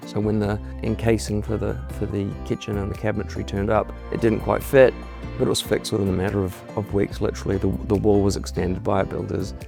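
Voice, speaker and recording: Middle-aged New man, low and a bit gravelly, easygoing testimonial read, faint music bed. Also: flat delivery, a little fast. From New Zealand. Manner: candid, restarts words, warm praise. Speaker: homeowner giving a client testimonial. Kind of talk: relaxed testimonial interview. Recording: soft music underneath.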